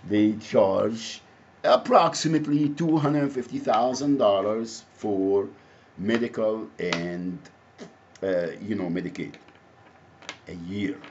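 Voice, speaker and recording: Deep-voiced Egyptian man, halting and rambling. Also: a little mellow. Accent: Egyptian Arabic. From Alexandria, Egypt. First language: Arabic. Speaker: activist, self-described advocate. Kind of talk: impromptu spoken monologue to camera. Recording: muffled audio with faint background noise.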